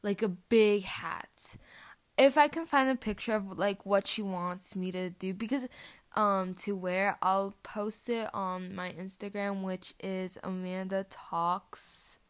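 A woman's voice: medium pitch, steady tone, slow pace, clear, resonant tone. A teenage girl whose first language is Portuguese, hosting her podcast with rambling, giggly casual chat full of fillers. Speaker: podcast host.

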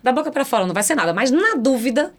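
High-voiced Bahian woman telling her story. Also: fast talker. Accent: Bahian Brazilian Portuguese. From Salvador, Bahia, Brazil. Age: 40s. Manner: animated, acts out quoted dialogue. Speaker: podcast guest, singer and event promoter. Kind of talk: conversational personal storytelling.

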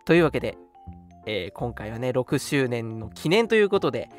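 Lively radio host over background music. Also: medium pitch, normal speed. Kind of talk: casual radio talk, announcing segments. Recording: music playing behind the voice.

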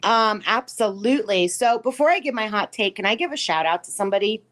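A woman co-hosting a podcast in casual, opinionated chat, blunt and sarcastic and quick to swear. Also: mid-range voice, animated, a bit bright. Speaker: podcast co-host.

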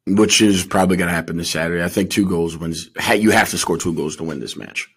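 A man with a low voice talks sports as a podcast co-host. His delivery is informal and slangy, and he repeats himself to drive a point home. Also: quick pace, fairly bright tone, slightly rough. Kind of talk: casual sports chat.

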